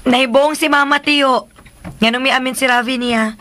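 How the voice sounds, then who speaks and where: A woman's voice: high voice, fairly even intonation, moderate pace, noisy background, natural voice. An actress with a Filipino accent playing a coy, cautious young working woman in a radio drama. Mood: hesitant, questioning.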